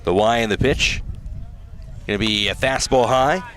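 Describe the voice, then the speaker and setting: Give a man's mid-range voice working as a radio baseball announcer. He calls the game live, pitch by pitch, in short clipped fragments. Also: moderate pace, a bit bright, a little hiss or hum. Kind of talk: live sports play-by-play commentary. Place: outdoor ballpark broadcast.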